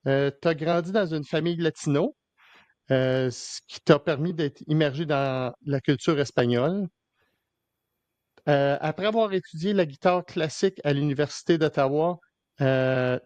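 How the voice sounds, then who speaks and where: A man's voice: on the high side, a little mellow, no room sound. A man hosting a podcast interview in casual Québécois French, hesitant, with many fillers and restarts. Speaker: podcast host.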